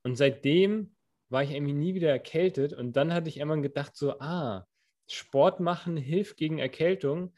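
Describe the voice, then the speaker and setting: A man's voice: a little high, normal speed, a little mellow. A young man co-hosting a podcast, talking in a loose, rambling way with many fillers and restarts. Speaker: podcast co-host. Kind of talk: casual chat.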